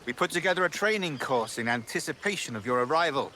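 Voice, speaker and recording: An actor playing a genteel, cordial English sea captain in a video game, with an English accent and a polished, hearty manner. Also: male voice, a little high, expressive, fast talker, bright, forceful tone, a little hiss or hum. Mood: cordial, encouraging. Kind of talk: video game cutscene acting.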